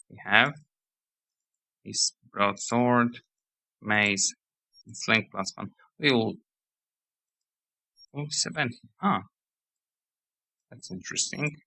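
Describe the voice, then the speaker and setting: A man giving rambling let's-play commentary on a game, hoarse as he loses his voice, reading menus and game text aloud. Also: fairly low pitch, pushed and bright, no echo, low-quality recording. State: hoarse, losing voice. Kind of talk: casual gameplay commentary.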